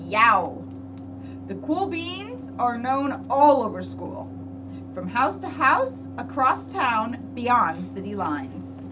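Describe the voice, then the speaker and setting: A high-pitched woman reads a picture book to children at an unhurried pace, chatting in casual asides. Her delivery is expressive, and the recording is very noisy, with a hum behind her. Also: highs cut off. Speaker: police officer reading a picture book to children. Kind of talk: storybook read-aloud with casual asides. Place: library room.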